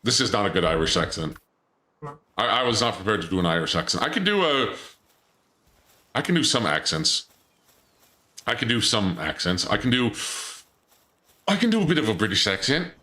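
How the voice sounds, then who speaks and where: Bright-voiced man streaming a game. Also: on the low side, very animated, moderate pace, long pauses, reverberant. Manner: chatty, joking, reads chat aloud. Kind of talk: casual gameplay commentary.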